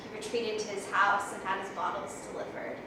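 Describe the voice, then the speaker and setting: A woman performing a dramatic stage monologue as a grown woman haunted by memories, telling her story in a measured, reflective way. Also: mid-range voice, little pitch movement, lightly acted. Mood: somber, reflective. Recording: roomy echo, distant mic, faint noise.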